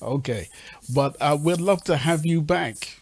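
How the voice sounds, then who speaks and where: A man's voice: a little high, measured pace, breathy edge, dry recording. A man hosting a radio talk show over a video call, often restarting and repeating his words. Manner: hesitant, repeats words as he starts.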